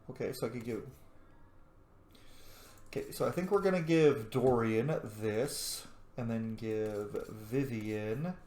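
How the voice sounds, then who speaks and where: A man's voice: medium pitch, a little mellow. A man giving casual gameplay commentary, thinking aloud and muttering to himself as he goes. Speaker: let's play host. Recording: roomy echo, faint background noise.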